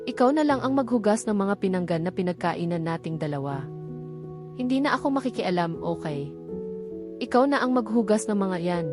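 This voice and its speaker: A woman's voice: medium pitch, animated, moderate pace, lightly acted. A woman reading the part of a stubborn, defensive young woman in love in a storybook, with a Filipino accent. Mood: grudging. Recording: music behind the voice.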